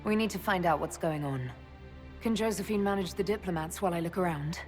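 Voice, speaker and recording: Actress as a dry, decisive heroine. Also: female voice, lowish voice, fairly quick, soft background music, lightly acted. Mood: brisk, concerned. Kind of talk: dramatic fantasy game acting.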